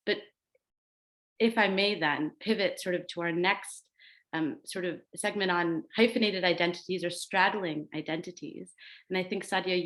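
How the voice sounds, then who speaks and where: A woman from the United States moderates a panel discussion in a thoughtful, reflective way. Her voice sits on the low side for a woman. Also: fairly bright tone.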